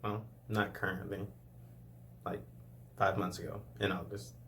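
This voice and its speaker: Young man from Louisiana, late twenties, low-pitched, chatty and loose, laughing between answers, camera-mic vlog. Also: little pitch movement, unhurried, gravelly, fairly bright tone, a little hiss or hum. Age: 20s. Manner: chatty, laughs often, rambling asides. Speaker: vlogger. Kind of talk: casual first-video Q&A.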